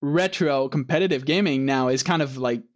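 A man's voice: mid-range voice, moderate pace. A man co-hosting a podcast, chatting casually and rambling with lots of fillers and restarts. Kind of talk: casual chat.

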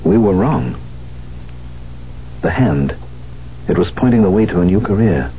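An actor playing an elderly piano coach, a fond and wistful husband, in a radio drama. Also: male voice, low voice, lively intonation, a little slow, mellow, slight background noise. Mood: solemn realization. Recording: muffled, humming vintage radio broadcast.

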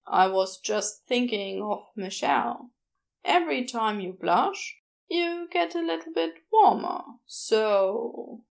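A woman voicing a laid-back, flirtatious man with a smooth, teasing manner in an audiobook. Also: fairly high pitch, expressive, takes it slowly, heavily acted. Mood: teasing, fond. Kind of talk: audiobook character acting.

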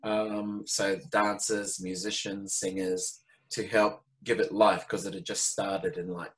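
Relaxed man. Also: lowish voice, low-quality recording. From New Zealand. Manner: unhurried, with frequent ums and restarts. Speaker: interview guest. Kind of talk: casual storytelling interview.

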